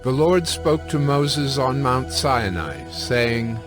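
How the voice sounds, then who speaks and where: Slow, expressive male scripture narrator. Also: mid-range voice, over music. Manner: solemn, deliberate storytelling.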